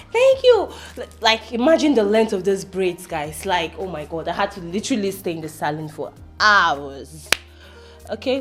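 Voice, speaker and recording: A woman's voice: medium pitch, natural voice. A young woman with a Nigerian accent playing a bubbly, quarrelsome live-streamer sister in a screen drama. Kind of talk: naturalistic screen drama acting.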